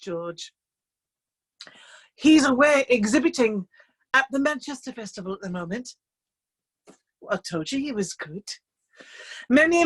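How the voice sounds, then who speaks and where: An actress performing a monologue as a chatty, dryly amused life-drawing model who confides in the listener with hesitant, conversational asides. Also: female voice, high-pitched, poor audio. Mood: fond. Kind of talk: theatrical monologue in a staged reading. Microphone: video call.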